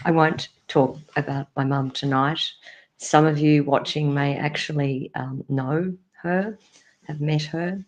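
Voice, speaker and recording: An Australian woman opens a live stream in a hoarse, croaky voice worn down by a cold. Her pitch stays flat and level, and she speaks softly, pausing on ums. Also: rough recording. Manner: soft, halting, with many ums. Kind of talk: casual live stream opening.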